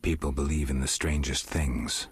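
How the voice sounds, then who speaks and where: A laconic game monster hunter with a rumbling, bottom-of-the-chest voice. His words come out rough and breathy, dry and close with no room around them. He answers in curt, flat phrases. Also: moderate pace, no room sound, exaggerated voice. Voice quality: very husky, low and dry. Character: gruff, terse male monster hunter, laconic. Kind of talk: game dialogue.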